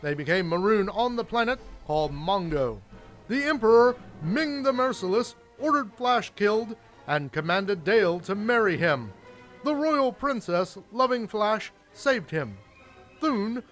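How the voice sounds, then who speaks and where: A man's voice: high-pitched, a bit bright. A man narrating an adventure radio serial in a dramatic, theatrical storytelling style.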